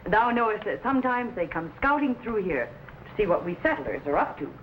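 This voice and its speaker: Woman as stern low-pitched frontier mother, animated. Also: normal speed, close to an everyday voice. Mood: scolding, cautioning. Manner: scolding, archaic thee-and-thou phrasing. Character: stern, protective Quaker-speaking frontier mother. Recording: muffled, faintly noisy vintage recording.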